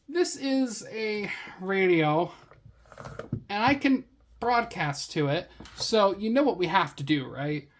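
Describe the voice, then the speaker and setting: A woman's voice: lowish voice, a little hiss or hum. A woman hosting a casual product review, chatting in a wry, slangy and easily amused way. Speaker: product reviewer, vlog host.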